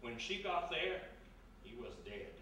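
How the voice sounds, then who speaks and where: A man's voice: a little high, big pitch swings, unhurried, pushed and bright, full character voice. In a big echoing room, away from the mic, a man performs a stern, moneyed older father from a radio mystery. He is firm and blustering, used to giving orders, over faint noise. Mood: grave, urgent. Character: stern older wealthy father, dismissive treasure-seeking excavator. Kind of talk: live radio mystery drama acting. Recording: very echoey hall, distant mic, faint noise.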